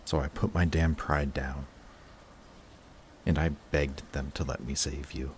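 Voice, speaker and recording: A man playing an emotional villain confessing to his longtime enemy in a dramatic audio roleplay, intense, halting and defensive. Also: very deep voice, fairly even intonation, slight background noise. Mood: pained, confessing.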